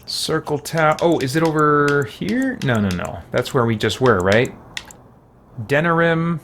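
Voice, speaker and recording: Guy doing casual game commentary, bit higher pitched, mumbling thoughts out loud to himself, some noise behind him. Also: expressive, unhurried. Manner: thinks aloud, talking to himself. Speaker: gaming video host.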